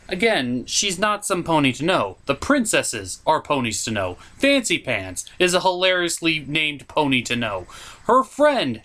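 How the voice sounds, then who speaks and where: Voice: mid-range voice, a bit bright. A comedic host reviewing cartoons from a script in a theatrical, sarcastic, exclamatory way.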